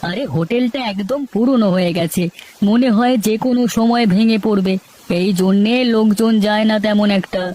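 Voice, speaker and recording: A woman's voice: mid-range voice, moderate pace, dark tone, natural voice. A woman voicing a gossipy young village man in a cartoon. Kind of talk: cartoon voice acting.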